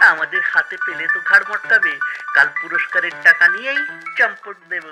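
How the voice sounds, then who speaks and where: A man voicing a sly, flattering circus worker in a cartoon, with a wheedling, mocking manner. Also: high voice, a little slow, low-quality recording. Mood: gloating. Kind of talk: cartoon voice acting. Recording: music behind the voice.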